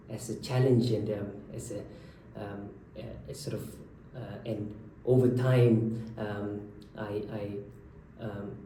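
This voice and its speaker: Man in his 30s, from Malaysia, research doctor; mellow, low voice, halting delivery full of ums, mic a little distant in a very echoey room. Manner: hesitant, many fillers and restarts. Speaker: haematology research fellow. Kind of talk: unscripted introduction to camera. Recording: echoey room, from a distance, faint background noise.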